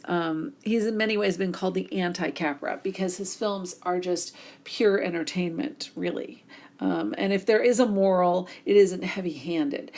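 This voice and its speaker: Lady teacher, a bit hoarse, kinda low voice, talking thru slides w lots of ums. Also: darkish tone. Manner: casual, many ums, reads slides aloud. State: hoarse from a cold. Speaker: instructor. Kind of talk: recorded lecture over slides.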